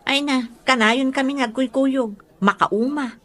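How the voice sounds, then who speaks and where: A woman's voice: high voice, measured pace, fairly bright tone. Weary young girlfriend. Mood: mildly annoyed. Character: young office woman, weary of her devoted boyfriend. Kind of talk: Ilocano radio drama acting.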